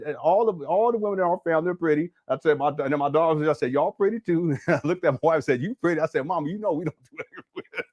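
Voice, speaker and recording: A man with a slightly high voice hosts a casual podcast. He jokes, laughs often, and talks in loose slang.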